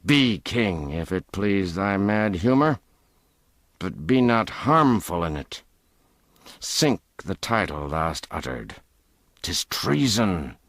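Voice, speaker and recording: A man reading the part of a burly, gruff vagabond leader who gives firm orders in old-fashioned speech. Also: sits low, sing-song, slow pace, a bit bright, dry recording, studio quality, heavily acted. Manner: archaic, commanding speech.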